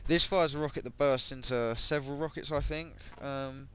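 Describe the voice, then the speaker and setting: A man talks through a game's gear, his voice a little croaky and his speech full of ums and restarts. He sits at a home computer mic, and a faint hum of room noise sits under him. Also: medium pitch, fairly even intonation, moderate pace. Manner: hesitant, many ums and self-corrections. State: voice going croaky. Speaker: gaming video creator. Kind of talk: casual gameplay commentary.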